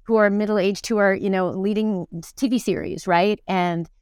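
A woman of fifty speaks in a clear, smooth voice with a medium pitch. She talks conversationally as an interview guest, animated and emphatic, and the sound is close and dry. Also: moderate pace, no echo. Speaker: interview guest, stage actor in musicals and plays.

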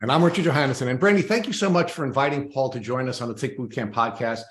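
A man's voice: mid-range voice, fast talker. A man co-hosting a podcast, introducing his guest in a friendly, enthusiastic way, with some ums between phrases. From Long Island, New York. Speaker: podcast co-host. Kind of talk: interview hosting. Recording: roomy echo.

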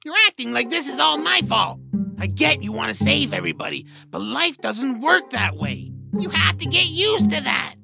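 A woman voicing a small, grumbling, cowardly troll with a creaky, whiny, theatrical delivery in a family audio drama. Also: high-pitched, sing-song, moderate pace, exaggerated voice. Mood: grumbling, defensive. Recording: music under the voice. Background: background music.

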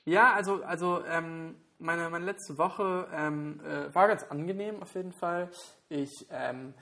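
A man's voice: fairly high pitch, unhurried, gravelly, bright, forceful tone. A young man co-hosting a podcast with a stuffy cold, rambling self-consciously with many fillers. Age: young adult. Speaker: podcast co-host. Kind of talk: casual chat.

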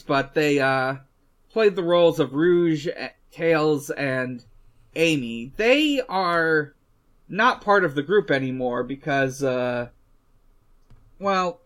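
A male podcast co-host with a bright, forward voice. He talks slowly and hesitantly through a casual recap, often stopping to find the next phrase. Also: a little high. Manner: halting, hesitant delivery.

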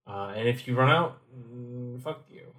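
Man, podcast co-host, mid-pitched voice, relaxed casual chat, dry asides. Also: unhurried. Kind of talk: casual podcast chat about a video game.

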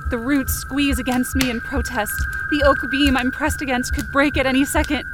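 A woman with a distinctly high voice narrates a fiction podcast in the first person. Her delivery is intense and theatrical, in short sentences, with a clear watery rumble behind her. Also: steady tone, normal speed. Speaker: writer and performer of fiction podcast.

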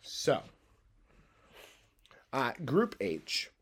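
A man's voice: mid-range voice, very animated, drawn-out words, husky. A man in his thirties, congested and coughing, rambling loosely through a solo podcast from his bedroom. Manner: loose, stream-of-consciousness rambling. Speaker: podcast host. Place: home bedroom.

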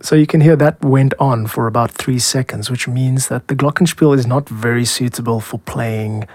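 A South African man narrates a podcast in a measured, explanatory way. His voice sits at an average male pitch and is recorded close and dry, with very little room sound. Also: a little mellow, no room sound. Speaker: podcast host, music composer and producer.

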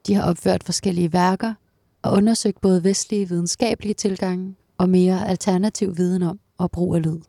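A woman narrating a documentary from a script in an even, measured read. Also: fairly low pitch, vocal fry, no room sound, studio quality.

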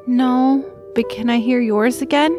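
A gentle, sick little girl, acted by a woman speaks slowly in a slightly high voice. She is timid, polite and trusting, with music clearly behind her in an audio drama. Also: fairly even intonation, close to an everyday voice. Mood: gentle, sad. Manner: soft, hesitant, polite.

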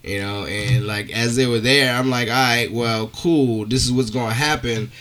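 A man's voice: mid-range voice, steady tone, moderate pace, fairly bright tone. A male podcast co-host telling casual comic stories, rambling along and acting out conversations.

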